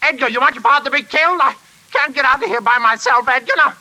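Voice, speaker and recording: A man's voice: very high voice, fast, sharp tone, full character voice. An actor playing a bitter, bullying invalid father who scolds and barks orders, in the style of 1940s American radio drama. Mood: panicked, pleading. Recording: hissy vintage radio recording.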